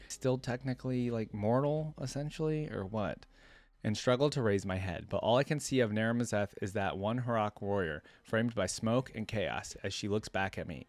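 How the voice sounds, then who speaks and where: A man's voice: on the low side, no room sound. A man hosting a reaction video, reading a fantasy story aloud and commenting in an informal, colloquial way.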